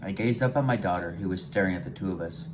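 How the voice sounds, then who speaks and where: A man reading his own first-person short story aloud in a gentle, unhurried way, sometimes stumbling over a word. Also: deep voice. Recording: noticeable background hiss.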